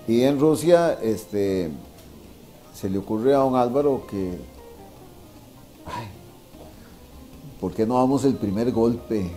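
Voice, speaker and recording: A man's voice: mid-range voice, expressive, slow pace, pauses often, mellow, soft background music. A man in his sixties with a Costa Rican accent, telling anecdotes casually, repeating phrases for emphasis and checking with tag questions. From Costa Rica. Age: 60s. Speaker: interview guest, veteran sports journalist. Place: wine bar dining room, indoors.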